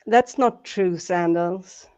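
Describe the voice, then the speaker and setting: A woman's voice: fairly low pitch, slow pace, darkish tone, dull audio. A woman with a Swedish accent, chatting casually and laughing often as she searches for words. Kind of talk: casual group chat on a live stream.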